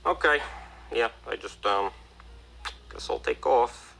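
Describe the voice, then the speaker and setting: A man's voice: medium pitch, expressive, slow pace, creaky, sharp tone, a little hiss or hum, heavily acted. An actor playing a goofy, chummy male police officer in a puppet comedy, talking in a casual, rambling way. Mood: aimless, chummy. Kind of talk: comedy puppet show acting.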